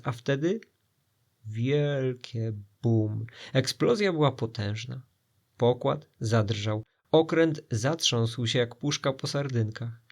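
A man narrating an adventure story for children expressively, with suspense and dramatic pauses. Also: mid-range voice, vocal fry.

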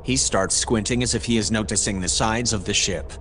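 Man as a low-voiced composed analyst, noisy backdrop. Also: fairly bright tone, close to an everyday voice. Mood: composed, observant. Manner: measured, formal. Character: composed analyst reporting events to his superior. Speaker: voice actor. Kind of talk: audio drama narration. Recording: clear background noise and ambience.

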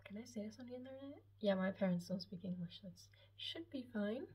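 A woman's voice: medium pitch, fairly quick, a little mellow, husky. A seventeen-year-old woman from Austria, a German speaker, chatting casually to camera in a hesitant, rambling, self-conscious way. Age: 17, late teens. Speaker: YouTube vlogger. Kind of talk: casual chat to camera, personal Q&A. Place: small lived-in room at home, indoors.

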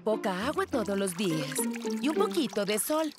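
A woman's voice: medium pitch, sing-song, normal speed, close to an everyday voice. A woman voicing a cheerful, doting cartoon mother with a theatrical, cooing way of talking. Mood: dreamy, doting. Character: cheerful doting mother who adores her garden. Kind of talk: Spanish-language cartoon dub. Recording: loud music bed under studio voice.

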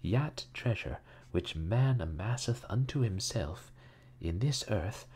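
Man acting a brusque, excitable theatrical magnate, pitched up. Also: slight background noise. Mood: slow, halting reading. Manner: terse, halting when reading out old writing.

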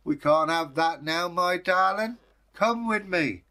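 A man's voice: sits high, slow pace, pitched above the performer's own voice, heavily acted. Man putting on a kindly, soothing village woman. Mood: comforting. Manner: gentle, reassuring.

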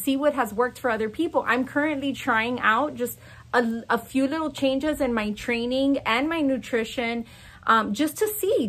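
A woman's voice: sits high, fairly bright tone. A woman in her forties chatting frankly to camera as a fitness coach, talking with her hands on an outdoor patio. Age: 40s. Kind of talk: casual chat to camera.

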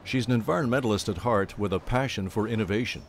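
A man giving scripted documentary narration in an even, measured way. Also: fairly low pitch, slight background noise.

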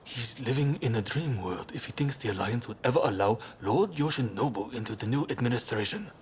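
An actor playing a composed, diplomatic young samurai leader in a video game cutscene, speaking in a measured, polite, thoughtful way. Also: male voice, medium pitch, animated, a little hiss or hum, lightly acted. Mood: earnest, troubled.

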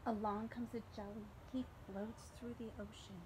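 A woman in her thirties, a teacher reading a picture book aloud to children outdoors in an expressive, deliberate way. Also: mid-range voice. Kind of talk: storybook read-aloud for children. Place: backyard, outdoors. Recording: outdoor ambient noise.

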